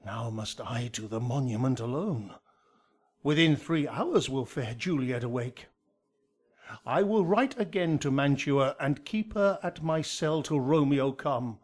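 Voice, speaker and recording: An actor playing a grave, counselling friar with measured declamation in a Shakespearean radio drama. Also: male voice, mid-range voice, lively intonation, a little mellow. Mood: troubled.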